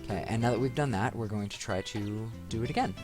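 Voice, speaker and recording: Male let's play host, lowish voice, casual rambling delivery, clear music bed from the game. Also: fast talker. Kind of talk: casual video game commentary. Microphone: desk microphone.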